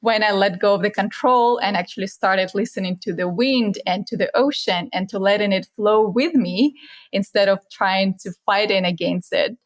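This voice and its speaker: A woman's voice: mid-range voice, moderate pace. Russian-accented young woman, podcast guest, earnest and reflective, a little non-native phrasing. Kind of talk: casual interview chat.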